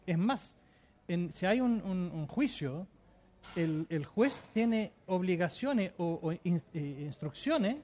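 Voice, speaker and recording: A man's voice: sits high, animated, slow pace, darkish tone, a little hiss or hum. A man giving a TV interview, answering fervently and insistently, often hesitating and repeating words. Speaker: interview guest living in the Emirates.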